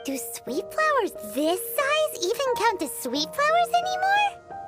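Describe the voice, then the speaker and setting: A woman voicing a small, chirpy, excitable and curious fairy companion in a video game cutscene, chatty and easily baffled. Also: very high voice, a little slow, heavily acted. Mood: puzzled. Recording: music playing behind the voice.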